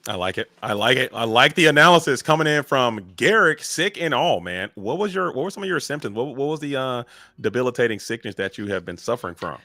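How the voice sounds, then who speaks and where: A man giving lively, joking play-by-play commentary on a live card game. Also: mid-range voice, no room sound. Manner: energetic, joking, drawn-out emphasis.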